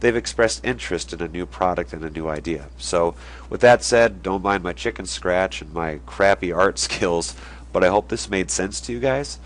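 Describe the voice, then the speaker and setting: Stuffy-nosed man with a very low voice. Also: normal speed. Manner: conversational, drawing on a board while explaining. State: stuffy nose from a cold. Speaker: online marketing video host. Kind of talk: casual explainer video.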